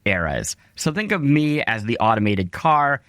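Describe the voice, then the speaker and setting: A man's voice: medium pitch, sing-song, normal speed, a bit bright, no echo, clean studio sound. A man hosting a podcast, reading scripted narration in an articulate, emphatic way. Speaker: podcast host.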